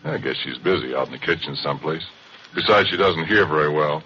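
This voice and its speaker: An actor playing a middle-aged former oil roughneck who tells his story in a folksy, chatty monologue, in 1940s radio acting style on a muffled broadcast with hiss. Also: extremely low pitch, expressive, a little fast. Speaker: narrator.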